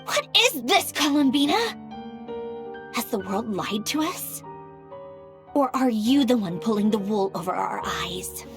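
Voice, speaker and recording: A woman voicing an excitable, obsessive young researcher in a video game cutscene, thinking aloud in theatrical bursts. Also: fairly high pitch, a little slow, pauses often, over music. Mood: frustrated, baffled. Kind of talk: animated game cutscene voice acting.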